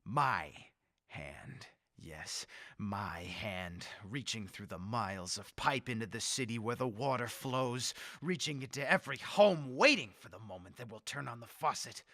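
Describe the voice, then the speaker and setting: A megalomaniac madman, intensely forceful and bright. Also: medium pitch, lively intonation, a little slow, no echo, clean studio sound, exaggerated voice. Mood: sinister relish. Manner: theatrical, gloating, swinging from hushed menace to shrieks. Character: megalomaniac mad male leader plotting mass death. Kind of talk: old-time radio horror drama acting.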